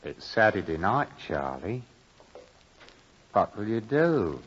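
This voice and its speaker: An actor playing an ominous, taunting storyteller in a brooding, insistent old radio-drama style. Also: male voice, fairly low pitch, sing-song, a little hiss or hum. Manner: slow, brooding, insistent repetition. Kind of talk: dramatic 1950s radio narration. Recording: muffled vintage radio recording.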